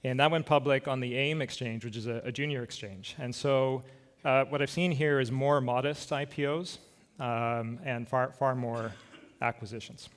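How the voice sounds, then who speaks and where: A man's voice: mid-range voice, steady tone, moderate pace. Casual man on stage. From Scotland. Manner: casual, self-deprecating, frequent filler words. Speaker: conference keynote speaker. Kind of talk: conference talk with slides. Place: conference stage. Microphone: stage microphone.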